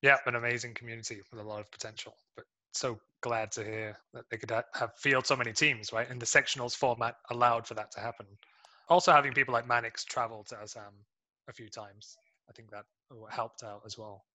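A man's voice: mid-range voice, steady tone, fairly quick, sharp tone. A man co-hosting an interview, chiming in agreeably with casual remarks and fillers. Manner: agreeable, chatty, full of fillers. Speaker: co-host.

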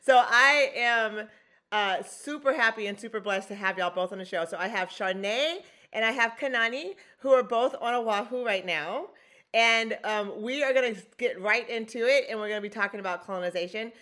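A woman's voice: a little high, normal speed, sharp tone. A woman hosting a podcast, talking in a chatty, effusive way with frequent restarts. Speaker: podcast host. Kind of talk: casual podcast conversation.

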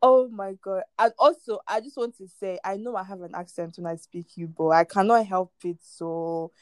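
A woman's voice: mid-range voice, fairly even intonation, normal speed, dry recording. Casting note: woman, giggly co-host, mid-range voice, dry mic. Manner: laughing, light, protests playfully. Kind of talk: casual banter.

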